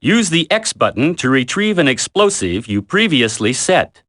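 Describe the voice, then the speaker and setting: A stern combat instructor from a video game, drilling a trainee with blunt, emphatic orders. The voice sits fairly high for a male and swings in pitch with a forceful, bright edge. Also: big pitch swings, moderate pace, no echo, clean studio sound. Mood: instructive, brisk. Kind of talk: video game tutorial voice acting.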